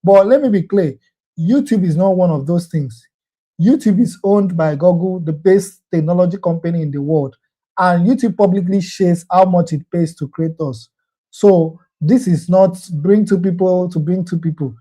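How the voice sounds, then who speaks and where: A man speaks in a fairly high, soft-edged, mellow voice. He talks through his course's common questions as an earnest sales pitch. He repeats lines for emphasis and closes his points with a reassuring "okay?". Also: moderate pace. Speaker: online course instructor.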